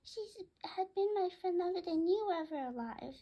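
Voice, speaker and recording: A woman voicing excitable, insistent pet cockatiels in a read-aloud story. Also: extremely high pitch, normal speed, muffled recording, voice pushed up. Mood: indignant.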